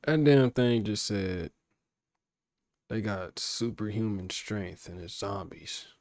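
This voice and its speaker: Slangy, low-voiced reaction host. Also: male voice, slow pace, darkish tone, dry recording, studio quality. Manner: loose, profane, slangy, talks to viewers. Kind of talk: casual reaction commentary.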